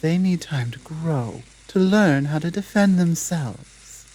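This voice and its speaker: Woman playing a slow, dominant werewolf pack leader. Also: deep voice, lively intonation, dark tone, heavily acted, rough recording. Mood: indignant, protective. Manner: deliberate, commanding, taunting. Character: dominant, menacing female werewolf pack leader guarding her home. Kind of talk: slow roleplay ASMR audio drama.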